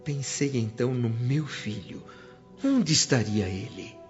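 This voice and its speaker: A man's voice: mid-range voice, expressive, a little slow, lightly acted. Radio drama narrator, played by a man: wistful male spirit, mid-range emotive voice, slightly muffled sound. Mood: sorrowful, resigned. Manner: dramatic, emotive, literary phrasing. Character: sorrowful male spirit returning home, wistful and earnest. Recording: dull lo-fi sound under music.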